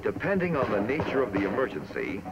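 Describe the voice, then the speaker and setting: A man with an American accent reading scripted military training narration in a formal, measured, authoritative way, on an old film soundtrack. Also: on the low side, huge pitch swings, muffled recording, very noisy.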